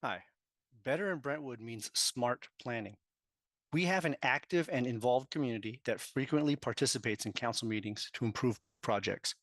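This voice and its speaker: A man reading out a prepared public comment at a council meeting, measured and persuasive. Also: mid-range voice, no room sound.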